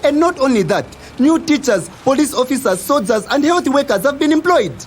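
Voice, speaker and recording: A combative young Zambian loyalist in an audio drama, acted by a man, blustering and quick to threaten. His voice is high and very animated, recorded with some background noise. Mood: defensive.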